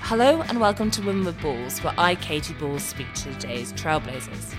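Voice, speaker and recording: A woman's voice: low-pitched, very animated, moderate pace, over music. A woman hosting a podcast, introducing her guest and asking friendly questions. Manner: friendly, asks questions, laughs along. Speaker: podcast host. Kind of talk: podcast interview.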